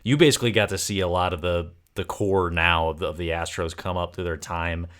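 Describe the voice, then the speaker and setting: A man's voice: deep voice, steady tone, brisk, fairly bright tone, clear, resonant tone. A man hosting a baseball podcast, interviewing his guest in a casual, conversational way.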